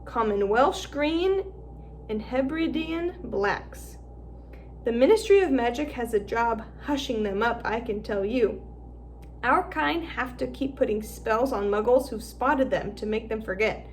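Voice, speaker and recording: A woman's voice: a little high, animated, measured pace, a little hiss or hum. A woman voicing a boastful schoolboy wizard. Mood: explaining. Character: eager schoolboy wizard, know-it-all about dragons.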